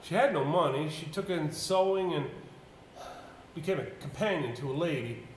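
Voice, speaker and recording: A man's voice: on the high side, normal speed, natural voice. Man acting a troubled local man, echoey stage. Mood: sorrowful recounting. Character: troubled, reluctant local man burdened by village secrets. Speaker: stage actor. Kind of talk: live amateur stage play acting. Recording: echoey, noisy amateur theater stage recording.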